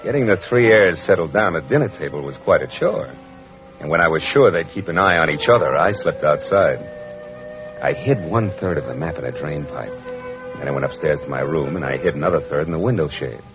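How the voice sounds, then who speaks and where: A very low-voiced, hard-boiled American private eye, clipped, wry and in command. He narrates like a 1940s radio drama, on a dull old recording with music. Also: expressive, fairly quick. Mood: wry, brooding. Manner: wry, clipped, world-weary. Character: tough, wry male private detective, dry and in command.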